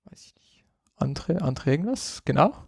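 A man co-hosting a podcast, chatting casually about software and thinking aloud with lots of filler sounds. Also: on the high side, sing-song, normal speed, gravelly, slightly rough, no room sound, studio quality. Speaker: podcast co-host. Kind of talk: casual tech chat.

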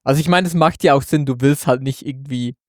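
A man co-hosting a casual tech podcast, thinking aloud in a relaxed way. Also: medium pitch, fast talker, no room sound, clean studio sound. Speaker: podcast co-host. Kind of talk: casual tech news chat.

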